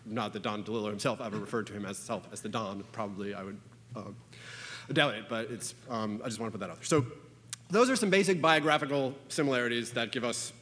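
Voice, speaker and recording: A man giving an informal conference talk, halting and full of "uh" and "you know", with wry asides. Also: on the low side, fairly bright tone. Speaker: conference speaker. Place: conference hall. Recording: long reverb of a lecture hall.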